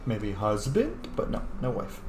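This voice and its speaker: A man's voice: fairly low pitch, wildly swooping pitch, a little slow. A man doing casual, sweary let's play commentary and reading in-game letters aloud as he roleplays. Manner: sweary, informal. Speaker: game streamer roleplaying as his character. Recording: echoey room with faint background noise.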